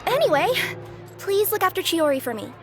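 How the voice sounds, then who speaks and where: A woman's voice: extremely high pitch, sing-song, fairly quick. A woman playing an anxious, polite young company employee in a video game, earnest and hesitant. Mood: worried, earnest. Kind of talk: video game character voice acting. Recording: game music behind the voice. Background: game music.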